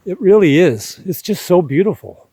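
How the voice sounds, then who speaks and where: A man, speaking a reflective personal monologue in an unhurried, contemplative way with deliberate pauses. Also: sits high, sing-song, mellow, slightly rough, no room sound. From Canada. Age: 60s. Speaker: vlog host.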